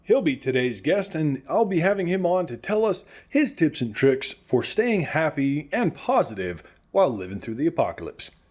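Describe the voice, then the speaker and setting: Man playing an animated, upbeat radio host. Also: fairly high pitch, moderate pace, few pauses. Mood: upbeat, announcing. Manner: animated, earnest, encouraging. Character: cheerful, upbeat male radio host of a post-apocalyptic show. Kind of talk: scripted comedic radio show hosting.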